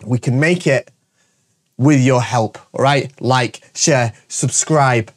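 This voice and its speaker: A man's voice: medium pitch, takes it slowly. A man hosting his show, his voice stuffy and bunged up from a cold, talking energetically and jokily, tagging "all right?" onto his points. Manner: energetic and jokey, repeating "all right?" often. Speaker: show host and shop owner. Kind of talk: casual promotional monologue to camera.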